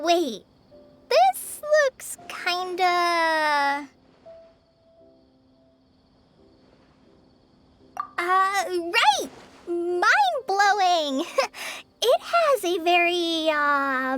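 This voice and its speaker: A woman voicing a shy, secretive young woman who speaks timidly with halting pauses. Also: very high voice, big pitch swings, a bit bright, soft background music, exaggerated voice. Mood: awkward.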